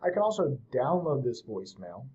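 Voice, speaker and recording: Mellow-voiced man giving a software tutorial. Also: medium pitch, very animated, normal speed, slightly rough, lo-fi sound. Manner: informal, step-by-step explaining. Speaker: host of a software tutorial series. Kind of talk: casual screen-share tutorial narration.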